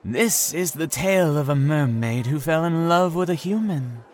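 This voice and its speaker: Guy doing a mysterious polite stranger for audio drama, kinda high voice, very animated, teasing, wont take no. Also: moderate pace, lightly acted. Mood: knowing, storytelling. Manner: formal, teasing, storyteller phrasing. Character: mysterious, courteous, insistent man new in town.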